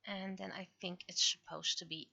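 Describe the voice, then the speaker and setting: A woman livestreaming casually while she works, muttering to herself and questioning things aloud in an expressive way. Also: lowish voice, moderate pace, vocal fry, husky, highs cut off. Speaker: livestreamer, webcomic and visual novel creator.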